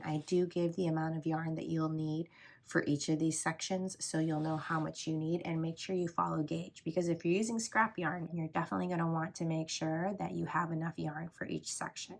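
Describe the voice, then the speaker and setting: A low-pitched woman with a gravelly, husky voice, stuffed up from a cold. She explains each step at a calm, steady pace and sometimes drops to a soft near-whisper. Her tone is patient and instructional. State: congested from a cold. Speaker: crochet teacher. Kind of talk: step-by-step craft tutorial.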